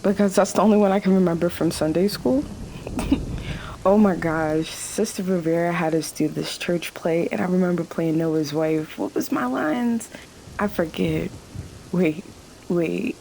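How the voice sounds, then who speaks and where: A woman's voice: on the low side, a little slow, vocal fry, dark tone, a little hiss or hum, low-quality recording. A woman playing a weary, sassy night-shift waitress in a radio play, wry and talkative. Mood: amused. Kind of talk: naturalistic radio play acting. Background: rain and thunderstorm ambience in a diner scene.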